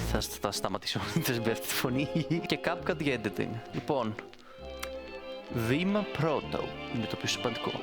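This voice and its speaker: A man presenting a casual tutorial in an informal, playful, rambling way. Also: medium pitch. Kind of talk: casual screen-recorded tutorial. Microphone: close studio microphone. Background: music.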